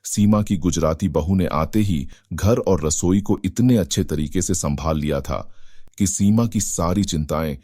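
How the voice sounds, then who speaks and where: A man's voice: deep voice, a little slow, warm tone, dry recording, clean studio sound. A man narrating a scripted moral family story as a storyteller.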